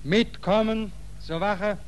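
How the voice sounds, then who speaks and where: An actor playing a curt, commanding border sergeant in a 1940s radio drama. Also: male voice, sits high, steady tone, slow pace. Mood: stern, suspicious. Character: brusque, barking male border sergeant. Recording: noisy 1940s radio recording.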